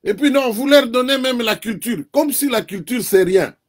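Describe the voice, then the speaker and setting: A man from the DR Congo with a Congolese French accent, a native Kikongo speaker, giving earnest, deliberate political commentary as an interview guest. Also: very high voice.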